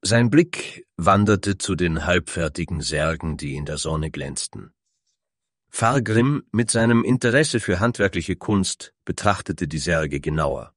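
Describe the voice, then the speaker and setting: A man with a low voice narrates a fantasy tale from a script. The recording is very dry, with hardly any room sound. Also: lively intonation, measured pace, no room sound.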